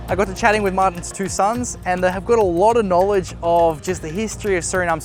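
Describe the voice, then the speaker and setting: A young Australian man talks in a relaxed, chatty way, asking curious questions as he travels. His voice sits a little high. Music plays loudly behind him. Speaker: travel vlogger. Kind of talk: casual travel vlog chat.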